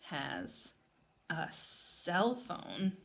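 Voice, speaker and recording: A woman's voice: on the low side, huge pitch swings, drawn-out words, gravelly. A woman teacher leading an interactive read-aloud lesson for young children in an explanatory manner. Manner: explanatory, spelling words out while writing. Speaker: elementary school teacher.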